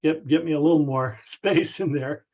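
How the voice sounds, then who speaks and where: A man on a conference call casually explaining a technical problem in a halting, tentative way. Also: on the high side, fairly even intonation, darkish tone. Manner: halting, tentative, circles back. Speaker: club member asking a technical question. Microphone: conference call connection. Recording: dull, band-limited conference call audio.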